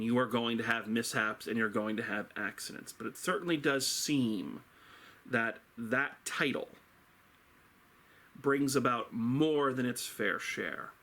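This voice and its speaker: A middle-aged man tells a story with a teacher's theatrical flair. His voice is bright and forceful at a medium pitch. He takes his time, leaning on dramatic pauses and emphatic words with a wry, self-deprecating touch.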